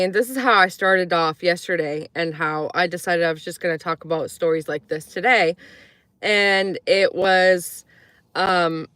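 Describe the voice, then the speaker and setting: A woman's voice: fairly low pitch, a bit bright, dry recording. A woman from Croatia chatting casually to a live audience, rambling with many fillers and acting out quoted voices in her stories. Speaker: live streamer. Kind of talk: casual storytelling to a live chat.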